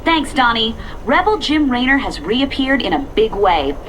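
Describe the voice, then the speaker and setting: Lively, high-voiced field reporter. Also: female voice, moderate pace, a little hiss or hum. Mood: earnest, upbeat. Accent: American. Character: upbeat earnest female TV field reporter. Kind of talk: on-location news report.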